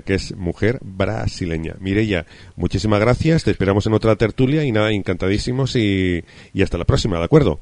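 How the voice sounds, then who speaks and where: A man's voice: sits low, darkish tone, no echo. A man with an accent from Spain, hosting a casual radio football discussion in an effusive, colloquial way.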